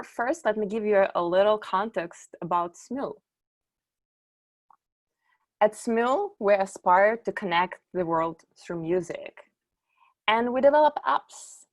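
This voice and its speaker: A middle-aged woman from Ukraine giving a conference presentation, explaining in a measured way and lifting her voice on key words. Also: medium pitch. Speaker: conference speaker, company executive.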